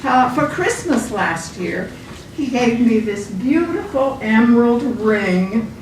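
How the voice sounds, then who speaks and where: A woman acts out a well-to-do wife bragging in a readers theater comedy. Her voice sits a little high and moves slowly as she gushes. It is picked up from a distance in an echoey room with clear noise. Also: very animated, heavily acted. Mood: gushing, boastful. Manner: gushing, showing off. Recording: roomy, distant, noisy live stage recording.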